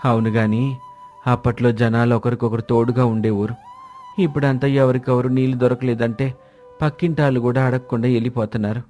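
A man's voice: medium pitch, fairly even intonation, a little fast, darkish tone. Man voicing village story dialogue over music. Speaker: story voice actor. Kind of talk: scripted story dialogue voiced for village characters.

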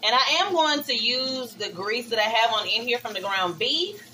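A Southern woman in her thirties talks to her viewers while cooking in a small home kitchen, calling them y'all and honey. Her voice is bright and a little high. The camera sits on the counter, and faint kitchen sounds like a running tap come through behind her. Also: normal speed, slight background noise, rough recording. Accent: Southern American. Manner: chatty and excitable, calls viewers y'all and honey. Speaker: vlogger. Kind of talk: casual cook-along chat. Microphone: phone or camera set on the counter, she moves around it.

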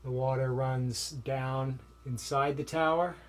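A man in his forties explaining a homemade project in a small basement utility room, in a relaxed, plain way with occasional fillers. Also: medium pitch, slow pace. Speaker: vlogger. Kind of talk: casual show-and-tell walkthrough.

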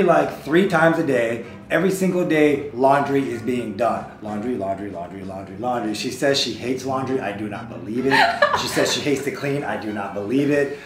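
A man in his thirties, co-host of a couple's vlog, chatting in a jokey, animated way and laughing often. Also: medium pitch, normal speed, few pauses, reverberant. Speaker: co-host, real estate agent. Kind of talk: casual chat. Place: office with desk and orchids, indoors.

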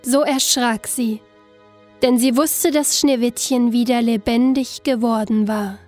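A woman narrating a scripted fairy tale in an expressive, deliberate storytelling manner. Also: on the high side, soft background music.

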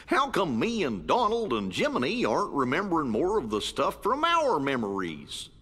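A man with an American accent voicing a goofy, good-natured cartoon dog with a husky drawl for a game. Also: a little high, wildly swooping pitch, normal speed, pushed and bright, big-room sound, heavily acted. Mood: puzzled, friendly. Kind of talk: cartoon character acting in a game.

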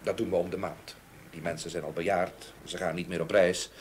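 Actor as low-voiced, grave male witness. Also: level tone, close to an everyday voice. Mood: earnest, factual. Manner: measured, factual, grave. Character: sober, earnest gentleman witness, Mr. Lichtveld. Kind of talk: Dutch radio play acting. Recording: slightly noisy old radio drama recording.

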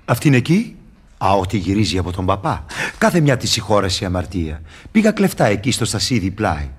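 A sly, mocking devil speaks in a lowish, rough-edged voice. He swoops widely in pitch as he wheedles and teases, in theatrical radio-drama style. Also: big pitch swings. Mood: teasing. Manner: wheedling, teasing, declamatory. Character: sly, mocking devil tempter and fixer, male.

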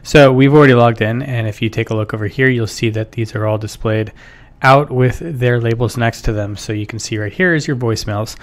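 A man, explaining software step by step in an informal, instructional way. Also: mid-range voice, a little fast. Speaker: software tutorial presenter. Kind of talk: casual instructional screen-share narration.

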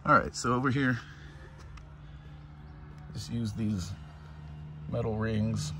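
A man's voice: fairly low pitch, slow, heavy background noise. A man vlogging casually, explaining step by step how he set up his minivan camper and walking through a wooded junkyard, filmed on a handheld phone. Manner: plain, practical, explains step by step. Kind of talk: casual show-and-tell narration. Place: inside a minivan, then outdoors in a wooded junkyard.

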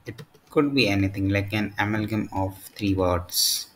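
A man narrating a step-by-step software tutorial in short, halting phrases. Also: lowish voice, a little hiss or hum. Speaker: tutorial presenter.

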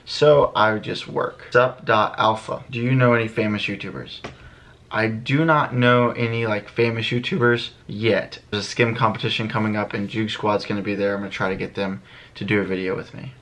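A man's voice: lowish voice, steady tone, normal speed, a bit bright. An American man in his early twenties talking casually to camera in a laid-back, slangy way, answering questions for a vlog. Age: early 20s. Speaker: vlogger, channel host. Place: small bedroom indoors. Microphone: camera a few feet away.

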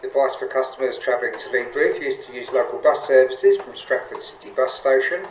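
Echoing male railway announcer, English accent. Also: mid-range voice. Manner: formal, measured apology wording. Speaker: railway service disruption announcer. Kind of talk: scripted recorded announcement. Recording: echoey, distant tannoy with faint noise. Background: faint station ambience.

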